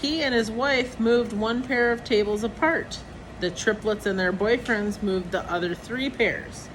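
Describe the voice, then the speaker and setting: A woman reading a children's picture book aloud in a deliberate storytelling manner, acting out the characters' lines. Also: on the high side, slight background noise, low-quality recording. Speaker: storybook reader.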